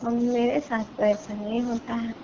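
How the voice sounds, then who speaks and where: A woman's voice: on the high side, little pitch movement, unhurried, crowd noise. A woman with a Bihari accent in a casual phone chat, speaking in short, questioning replies. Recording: dull lo-fi call recording, clear noise.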